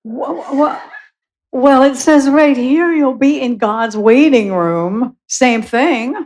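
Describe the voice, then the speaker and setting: A woman's voice: high voice, slow, darkish tone. A woman playing a bumbling, well-meaning little angel in a radio play, earnest, fussy and eager to please. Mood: earnest, muddled. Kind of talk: live radio play acting.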